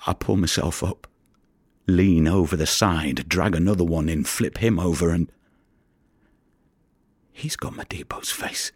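An actor playing a haunted fisherman in an audio play, telling his story intensely and haltingly in a gravelly, breathy voice. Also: deep voice, no room sound, clean studio sound, exaggerated voice. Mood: anguished. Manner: intense, halting storytelling that drops toward whispers. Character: haunted fisherman recounting a rescue at sea. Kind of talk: dramatic monologue in an audio play.

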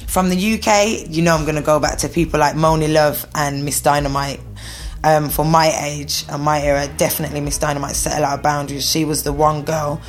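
A woman who raps, answering interview questions in a frank, defiant, casual way. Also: low voice. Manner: frank, defiant, laughs easily. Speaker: rapper being interviewed.

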